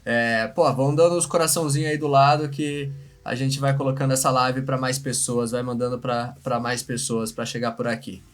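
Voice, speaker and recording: Male Brazilian livestream host, medium pitch, informal, slangy and repetitive in casual conversation. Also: flat delivery, moderate pace. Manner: informal, slangy, repeats himself. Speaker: live show host. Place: remote video live stream from separate location.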